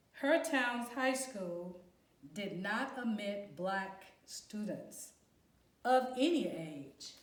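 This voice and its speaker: Slow-reading woman in an echoey room. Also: fairly high pitch. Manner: deliberate, explanatory, addressing young students. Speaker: volunteer storybook reader. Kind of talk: children's picture book read-aloud with teacherly asides. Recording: roomy echo, distant microphone.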